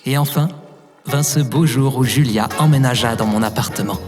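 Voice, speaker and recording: A man's voice: medium pitch, normal speed, darkish tone, faint music behind, lightly acted. A man playing a devoted, self-deprecating young man who tells his story in a fiction podcast. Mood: wry, nostalgic. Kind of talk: fiction podcast acting with narration.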